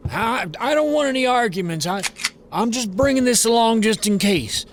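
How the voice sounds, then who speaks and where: A man playing a gruff, protective older uncle, folksy and excitable, in a horror audio drama. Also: very high-pitched, moderate pace, noisy background. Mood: wary.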